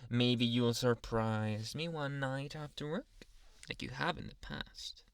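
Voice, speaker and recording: A man playing a jealous, dominant anime boyfriend in an ASMR roleplay, teasing and menacing, with a deliberate delivery that dips into whispers. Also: medium pitch, clean tone, no room sound. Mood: possessive, demanding.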